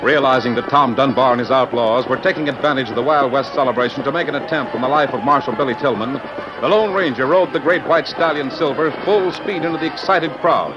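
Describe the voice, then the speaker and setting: An actor as the grand narrator of an old radio western, reading in a dramatic scripted style. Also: male voice, medium pitch, a little fast, over music, natural voice. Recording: muffled old radio recording.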